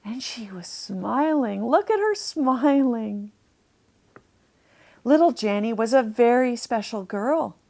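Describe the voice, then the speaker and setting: A woman narrating a children's storybook aloud, deliberately and expressively, lingering on key words. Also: fairly high pitch, lively intonation, creaky, dark tone. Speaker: author reading a children's story.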